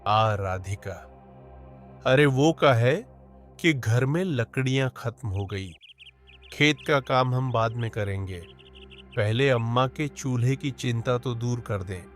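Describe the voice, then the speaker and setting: A man's voice: mid-range voice, takes it slowly, soft background music, lightly acted. A man voicing a poor, hardworking young village man who speaks in rustic village speech and exclaims often, in a cartoon story. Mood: worried.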